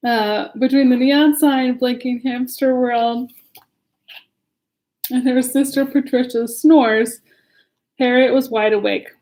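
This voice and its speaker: A woman's voice: sits high, steady tone, takes it slowly, long pauses, darkish tone. A woman casually reading a children's story at home in a chatty, giggly manner, often breaking off to talk to her listeners.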